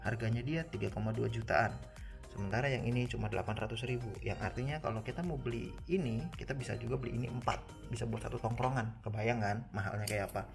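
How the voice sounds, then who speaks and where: A man with a Jakarta accent talks viewers through a product review in an informal, conversational way. His mid-pitched voice has a noticeably creaky, gravelly edge. Music plays clearly behind him as he explains. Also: quick pace. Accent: Jakarta Indonesian. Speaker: motorcycle gear reviewer. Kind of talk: casual product review to camera. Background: background music.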